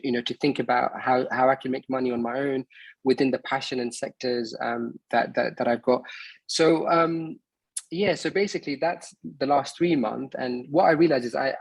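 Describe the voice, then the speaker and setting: A man in his early thirties with a somewhat husky voice, recorded close and dry. He talks through an online meeting in a loose, casual way, stopping often to restart his sentences. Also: medium pitch, no room sound, low-quality recording. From Bangladesh, raised in England. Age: 30s. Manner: hesitant, many fillers and restarts. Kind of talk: casual presentation on a video call.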